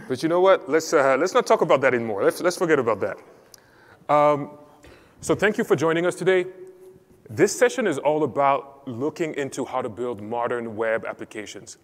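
A man from Cameroon giving a technical conference talk as a support lead, explaining step by step in a measured way. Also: medium pitch. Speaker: enterprise support lead presenting. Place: large conference hall. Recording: reverberant hall.

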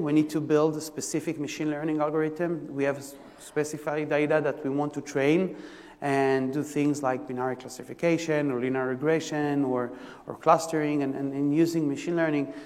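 A man from Israel with an Israeli accent, giving a conference tech talk in a halting way, full of fillers and restarts. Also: a little high, a little mellow. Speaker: technical presenter. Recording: long reverb of a lecture hall.

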